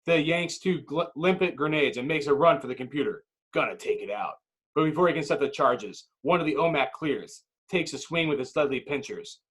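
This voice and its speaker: A man's voice: on the high side, lively intonation, fairly quick, a bit bright. A man narrating a screenplay's action with intense, clipped dramatic phrasing. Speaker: narrator reading stage directions.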